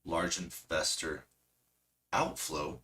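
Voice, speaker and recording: A man hosting a stock trading stream, hoarse and losing his voice, chatty and self-mocking with a cracking voice. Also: low-pitched, slow pace, sharp tone. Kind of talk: casual market commentary.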